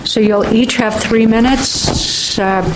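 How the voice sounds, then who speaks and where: A woman's voice: a little high, slow pace, heavy background noise. A woman chairing a public meeting, speaking in a friendly, procedural way with a few fillers. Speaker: commission chair.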